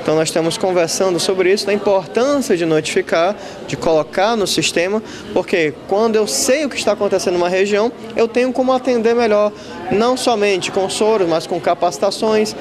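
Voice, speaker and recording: High-voiced man explaining in a crowded hall. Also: crowd noise. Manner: explanatory, measured. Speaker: lecturer interviewed. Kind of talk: news interview. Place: school auditorium with an audience. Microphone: handheld reporter mic.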